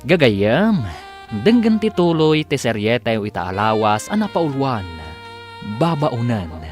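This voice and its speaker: A man's voice: mid-range voice, very animated, takes it slowly, faint music behind. A man narrating a scripted radio drama as the show's storyteller.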